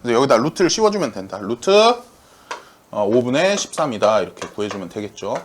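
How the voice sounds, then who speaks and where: A man teaching a math class, lively, asking his students questions, answering them himself, and working the arithmetic aloud. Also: mid-range voice, brisk. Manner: talks through arithmetic aloud.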